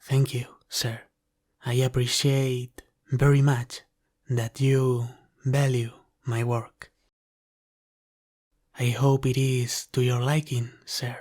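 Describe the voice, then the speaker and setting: A devoted dragon butler, acted by a man, attends in a soft ASMR roleplay. His speech is very slow and unhurried, with a level, restrained melody at a medium male pitch. He is courteous and formal, always gentle and deferential. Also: level tone, close to an everyday voice. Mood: courteous, attentive. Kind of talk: ASMR roleplay, soft scripted character acting.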